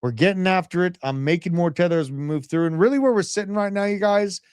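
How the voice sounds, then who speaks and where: A man in his thirties hosting a livestream, giving brash, animated market commentary and chatting with live viewers. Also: high-pitched, fast, clean tone, dry recording, studio quality. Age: 30s. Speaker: crypto livestream host.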